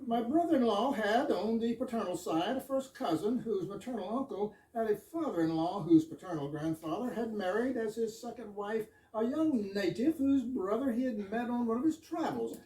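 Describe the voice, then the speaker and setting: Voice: mid-range voice, dark tone, natural voice. A performer in amateur readers' theater playing a bashful, long-winded fire chief who tells absurd stories. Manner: long-winded run-on storytelling. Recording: echoey room, distant mic.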